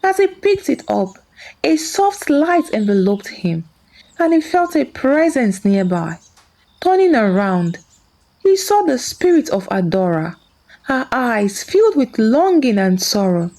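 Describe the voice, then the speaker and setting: A woman's voice: a little high, sing-song, measured pace, mellow, low-quality recording. A woman narrating a scripted folktale as a storyteller.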